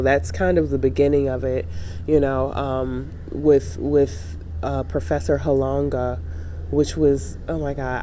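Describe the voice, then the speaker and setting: A woman's voice: low-pitched, warm tone, slight background noise. A woman in her thirties with a congested, nasal voice, getting over a sinus cold, chatting to camera in a reflective vlog with many fillers and restarts. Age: 30s. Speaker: travel vlogger. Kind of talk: casual chat to camera.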